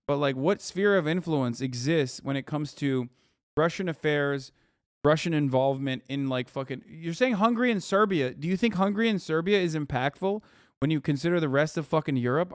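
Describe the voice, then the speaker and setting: A fairly high, smooth male voice recorded close and dry, as a streamer reacts to an article. He rants with heated sarcasm and frequent swearing, pausing on fillers before driving his point home. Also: no room sound. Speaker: streamer host. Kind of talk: live stream reaction commentary.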